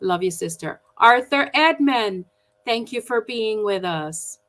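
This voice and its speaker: A woman with a high, bright voice runs an online forum and greets the viewers one by one in a warm, chatty way. She talks over a video call. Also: expressive, slow pace. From Milwaukee, United States. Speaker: forum moderator.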